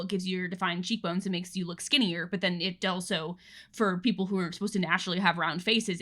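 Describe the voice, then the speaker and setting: A woman co-hosting a podcast in casual chat, animated and full of fillers and restarts. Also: lowish voice. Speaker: podcast co-host.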